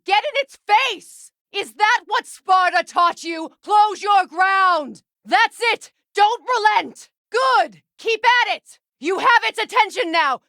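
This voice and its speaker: A woman's voice: very high-pitched, no room sound, clean studio sound, exaggerated voice. An actress playing a stern, proud warrior goddess, a battle-hardened mother with a commanding, curt manner, in a fantasy video game. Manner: commanding, curt, sharp-tongued. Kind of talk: dramatic fantasy game dialogue.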